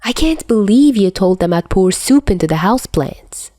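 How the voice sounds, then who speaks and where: A woman's voice: mid-range voice, expressive, moderate pace, mellow, no room sound, studio quality, pitched above the performer's own voice, natural voice. A woman voicing an airy, playful, theatrical young man with a sing-song, whiny way of talking in an audiobook story. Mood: whiny, exaggerated.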